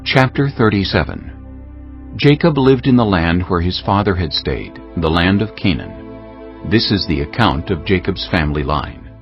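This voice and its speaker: A man narrating a dramatized scripture audiobook in a solemn, measured storytelling manner. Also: low-pitched, very animated. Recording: dull lo-fi, music bed.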